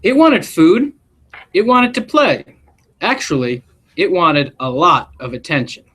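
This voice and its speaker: Expressive man reading aloud, swooping intonation. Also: on the high side. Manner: expressive, deliberate storytelling delivery. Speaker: school principal reading to students. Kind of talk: live picture-book read-aloud with asides.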